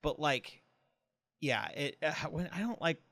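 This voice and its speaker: A man co-hosting a podcast in casual comedic banter, telling things in a rambling, halting way. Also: a little high, animated, fairly bright tone, no room sound. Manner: rambling, halting storytelling with frequent "like". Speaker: podcast co-host.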